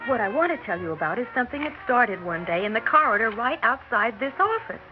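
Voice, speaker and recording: A woman's voice: fairly high pitch, big pitch swings, fast, loud music behind the voice. A young woman playing a persistent, talkative aspiring actress, earnest and flustered, in 1960s radio drama style. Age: young adult. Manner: earnest, flustered, stammering plea. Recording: dull vintage radio recording with hiss.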